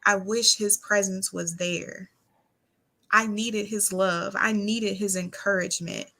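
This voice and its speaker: A woman's voice: medium pitch, fairly even intonation, takes it slowly, sharp tone. An American woman talking candidly and casually as a guest, with an earnest, plain-spoken manner. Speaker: interview guest.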